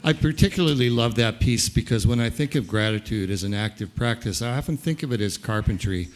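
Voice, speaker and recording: A man's voice: mid-range voice, fairly quick. A man hosting a gratitude concert, speaking reflectively between songs on stage. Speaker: concert host. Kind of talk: onstage emcee remarks.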